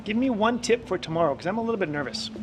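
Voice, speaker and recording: A man's voice: high voice, expressive, very fast, background noise. A man hosting a casual podcast outdoors on campus steps, upbeat and joking as he asks his guests questions. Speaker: podcast host.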